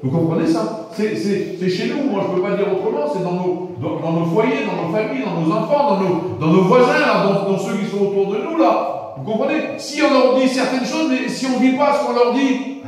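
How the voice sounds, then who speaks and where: A man's voice: sits high, brisk. A man from France preaching an informal sermon to his congregation, colloquial and repetitive, often checking that the room understands. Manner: colloquial, repetitive, asks the room "vous comprenez". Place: church hall. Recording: echoey church hall from far off.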